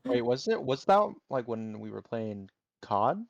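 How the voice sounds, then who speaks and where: A man's voice: mid-range voice, sing-song, a little slow, creaky, no echo. A man in his early twenties co-hosting a podcast with casual, joking chat, through a lo-fi microphone. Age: early 20s. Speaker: podcast co-host. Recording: dull, lo-fi microphone sound.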